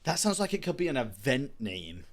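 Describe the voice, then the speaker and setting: A man's voice: medium pitch, very animated, brisk. A man giving casual, reactive, sweary live commentary as he plays a video game.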